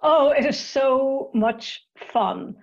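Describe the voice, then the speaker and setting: Northern irish lady guest on a podcast, chatty, husky and a bit gravelly, dull lo-fi sound. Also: high voice, lively intonation, extremely slow. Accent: Northern Irish, softened by years in the US. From Northern Ireland. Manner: chatty, repeats words while finding her thoughts. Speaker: podcast guest, marketing coach. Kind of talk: casual interview chat.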